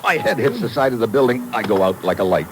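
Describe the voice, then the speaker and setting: An actor playing a tough, dogged American secret agent in a 1950s radio thriller, with clipped, terse delivery. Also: male voice, medium pitch, very animated, fast, natural voice, poor audio. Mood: terse, tense. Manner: clipped, terse, hard-boiled. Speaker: lead actor who also narrates the story. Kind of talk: 1950s radio thriller acting, hard-boiled first-person narration. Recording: vintage 1950s radio recording.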